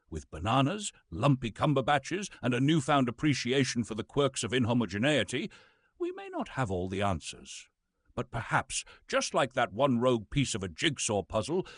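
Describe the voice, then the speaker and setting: Man playing a pompous, husky British thespian, swooping theatrically. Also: medium pitch, fairly bright tone, no echo, clean studio sound. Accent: British English. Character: pompous, bitter old Shakespearean actor reduced to reading science. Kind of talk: theatrical dramatic reading.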